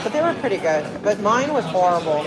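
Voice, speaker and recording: A woman's voice: mid-range voice, big pitch swings, a little fast, low-quality recording. Woman from New Jersey, young adult, rambling livestream chat, crowd chatter around her. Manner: chatty, rambling, reads viewer comments aloud. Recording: crowd chatter in a busy public place.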